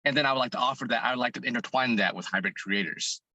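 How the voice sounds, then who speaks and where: Hoarse male interview guest, bright-toned, earnest, thinks out loud. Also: mid-range voice, rapid-fire, a little hiss or hum. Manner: earnest, thinking aloud with many restarts. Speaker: fitness content creator and business owner. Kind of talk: interview guest answering questions.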